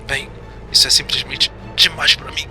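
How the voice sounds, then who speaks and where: A man's voice: fairly high pitch, level tone, normal speed, bright, forceful tone, soft background music. A man playing a panicky, sarcastic young male slave muttering to himself in an audio drama inner monologue. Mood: estupefato.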